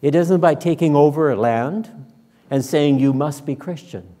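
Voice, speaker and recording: A man in his sixties, a minister preaching and praying in a measured, solemn, deliberate manner. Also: fairly high pitch, warm tone. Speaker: minister leading worship. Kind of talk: sermon and public prayer. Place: church sanctuary, at the front and pulpit. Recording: reverberant church hall.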